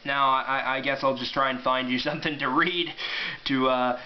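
Male, 20s, casual amateur vlogger, mid-pitched, dull camera audio with some noise. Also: steady tone, moderate pace. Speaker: amateur book reviewer. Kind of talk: casual vlog review with read-aloud passages. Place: small room at home, indoors.

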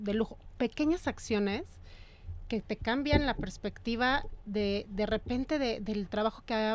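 A woman with a Mexican accent hosting a radio interview in a small studio, chatty and quick. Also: mid-range voice, darkish tone. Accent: Mexican Spanish. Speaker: host. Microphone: desk mic on stand.

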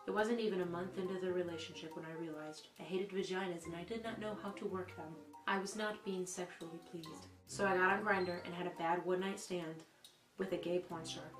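A young woman reading a mock confession in a deadpan, earnest, dramatic style. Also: lowish voice. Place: small room indoors. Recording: roomy echo. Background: clear music.

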